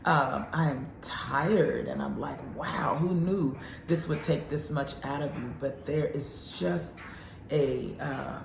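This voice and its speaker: Slow earnest woman in her fifties. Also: very deep voice, creaky, roomy sound, background noise. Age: 50s. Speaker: counselor. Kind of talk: encouraging faith talk.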